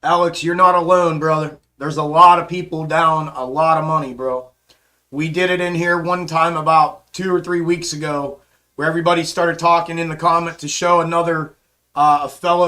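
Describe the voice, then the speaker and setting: Hoarse male livestream host, easygoing, slightly high voice, casual market chatter. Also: pushed and bright. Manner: laid-back, calls viewers bro and man. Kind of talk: casual live chart commentary and chat replies.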